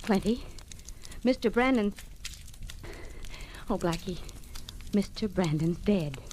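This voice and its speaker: An actress playing a detective's plucky, anxious friend, expressive and a little flustered, in 1940s radio acting style. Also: female voice, medium pitch, slow. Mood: frightened. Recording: noisy old radio drama recording.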